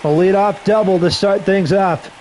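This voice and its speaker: A slightly hoarse man on a headset mic giving casual play-by-play commentary, with relaxed, rambling asides between calls. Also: high-pitched, normal speed, slight background noise. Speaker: streamer doing play-by-play.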